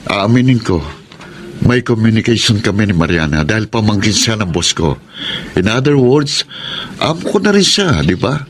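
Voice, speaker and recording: A man's voice: on the low side, big pitch swings, moderate pace, slightly rough. A man with a Filipino accent playing a defensive, sweet-talking boyfriend in a radio romance drama. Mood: defensive, coaxing. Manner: coaxing, earnest. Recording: music bed underneath.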